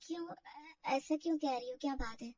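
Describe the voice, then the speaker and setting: A woman's voice: sits high, normal speed, mellow, recorded from a distance, lo-fi sound, lightly acted. A woman voicing a polite, anxious young new bride in a family drama story, hesitant and deferential. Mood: hesitant, worried.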